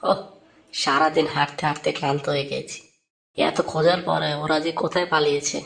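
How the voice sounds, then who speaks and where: A man's voice: a little high, fairly even intonation, brisk, gravelly, fairly bright tone. A man voicing an obedient, deferential royal guard in a cartoon. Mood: weary, worried. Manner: deferential to his master. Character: obedient royal guard, dutiful servant of a king.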